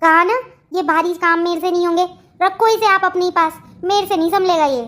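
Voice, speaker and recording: Someone voicing a very high-pitched snappish young bride. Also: fairly even intonation, brisk, pushed and bright. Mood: irritated. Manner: curt, snappish, sarcastic. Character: rude, irritable young newlywed bride and daughter-in-law. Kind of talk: Hindi family comedy cartoon dialogue.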